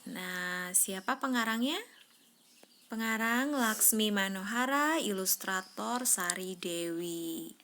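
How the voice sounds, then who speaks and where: A woman's voice: mid-range voice, slight background noise. A woman reading a children's story aloud expressively, drawing out words and prompting a young listener with questions. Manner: animated, prompting, drawn-out words for suspense.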